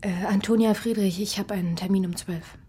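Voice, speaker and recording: An actress playing a subdued clinic patient in a radio crime drama, speaking in a halting, apologetic hush. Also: female voice, medium pitch, fairly even intonation, faint music behind, close to an everyday voice. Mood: timid, subdued. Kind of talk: naturalistic German radio drama acting.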